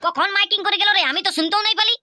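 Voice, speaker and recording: A woman voicing a bossy, hot-tempered village elder brother in a Purulia Bengali accent, scolding and quick. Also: very high voice, bright, forceful tone, no room sound, clean studio sound, full character voice.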